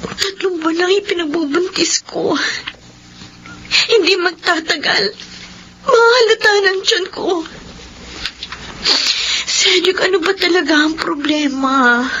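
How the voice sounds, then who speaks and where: A woman's voice: extremely high pitch, a little slow, pauses often, fairly bright tone, lo-fi sound, slight background noise. A woman playing an anxious pregnant young woman in a radio drama, earnest, insistent and emotional. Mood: upset, pleading. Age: young adult. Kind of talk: Filipino radio drama acting.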